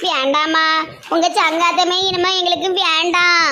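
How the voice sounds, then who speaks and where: A grieving old village mother turns on her son's in-laws with bitter, scolding pleas, as a performer puts her on. The voice sits very high and sounds bright and piercing. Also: little pitch movement, moderate pace, a little hiss or hum, heavily acted. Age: older. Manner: scolding, pleading dialect speech.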